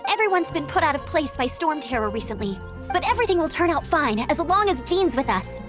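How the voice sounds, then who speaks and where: A woman's voice: sits high, very animated, fairly quick. A woman voicing an upbeat, dutiful young female knight in a video game, speaking in an earnest, eager and slightly formal way. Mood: eager, friendly. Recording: music behind the voice.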